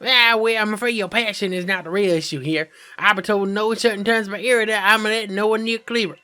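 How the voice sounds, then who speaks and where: Man voicing a tense, quick-talking young guardian. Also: high voice, fairly even intonation, bright, forceful tone, clear, resonant tone, soft background music. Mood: defiant. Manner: challenging, tense.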